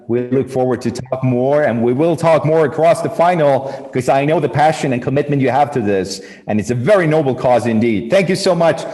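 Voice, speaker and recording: A man's voice: medium pitch, a little fast, hardly any pauses, mellow. Upbeat man hosting with hall reverb. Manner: upbeat, emphatic introductions. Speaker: host. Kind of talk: live show hosting, introducing contestants.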